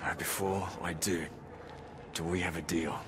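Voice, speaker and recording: An actor playing a stoic, hardened wasteland driver in a video game, blunt, clipped and deadpan. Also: male voice, low-pitched, unhurried, noisy background. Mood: guarded. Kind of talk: video game character acting.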